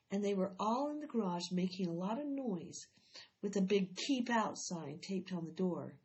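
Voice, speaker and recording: A woman reads a children's chapter book aloud with expressive, animated storytelling. Her voice sits in a middle pitch. The recording sounds slightly dull and lo-fi.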